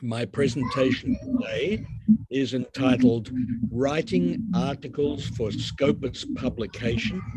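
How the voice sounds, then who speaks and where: A man with an Australian accent giving a formal, deliberate academic presentation as a guest professor over a video call. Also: a little high, noisy background. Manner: slow, deliberate, formal speaking. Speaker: visiting professor, guest lecturer.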